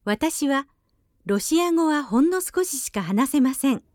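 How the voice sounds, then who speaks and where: A woman giving short, clear phrase prompts for a language lesson at a brisk pace. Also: high voice, lively intonation, darkish tone, no echo, studio quality.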